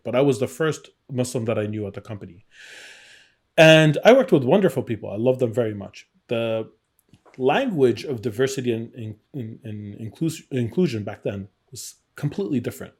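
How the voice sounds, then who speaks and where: A middle-aged man is giving a personal interview in a conversational way. His voice sits at an ordinary male pitch, and he speaks candidly, retelling experiences and voicing the people in them. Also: a little mellow, breathy edge. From born in Iraq. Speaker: interview guest, game designer.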